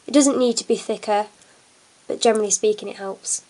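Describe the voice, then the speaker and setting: A woman's voice: medium pitch, fairly even intonation, brisk, warm tone, a little hiss or hum, poor audio. A young woman with a cold and a blocked nose, chatting through a hands-on craft tutorial with lots of ums. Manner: chatty, many fillers, talks while working. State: congested from a cold. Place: at a table indoors, hands on camera.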